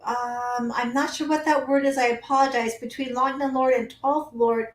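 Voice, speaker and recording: A high-pitched woman hosting a livestream in an echoey room. She talks in a loose, chatty way with plenty of ums and answers viewer comments. Her voice is wearing thin after a long broadcast. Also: fairly even intonation, normal speed. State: losing her voice. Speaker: astrology livestream host. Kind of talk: casual livestream talk.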